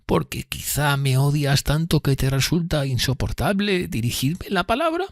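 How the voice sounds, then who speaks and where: A man voicing a frail, remorseful elderly gentlewoman in an audiobook, speaking slowly in a hesitant, timid murmur. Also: high voice, sing-song, creaky, dry recording, clean studio sound, voice pushed up, heavily acted. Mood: fearful, weary. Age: older. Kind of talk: audiobook character reading.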